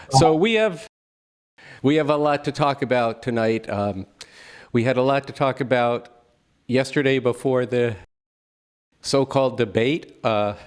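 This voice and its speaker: A man's voice: mid-range voice, clear, resonant tone. A man hosting a live discussion, introducing the program and guest informally. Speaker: host.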